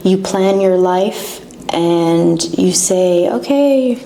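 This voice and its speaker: A woman from Canada being interviewed as a guest, speaking in short, halting phrases. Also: on the low side, warm tone. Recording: echoey room with faint noise.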